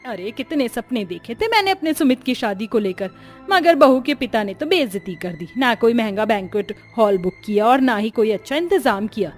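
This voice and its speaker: A woman's voice: on the high side, animated, moderate pace, faint music behind, lightly acted. A woman voicing a rich middle-aged mother-in-law in a cartoon story, bossy and disapproving. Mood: resentful. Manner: complaining, bossy. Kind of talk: Hindi cartoon story acting.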